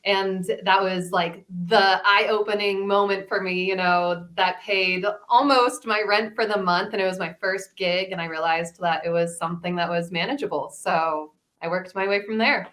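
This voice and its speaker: A woman voice actor telling personal stories in a casual, animated, chatty way. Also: medium pitch, moderate pace, hardly any pauses. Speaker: voice actor, panel guest. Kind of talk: casual chat, personal storytelling.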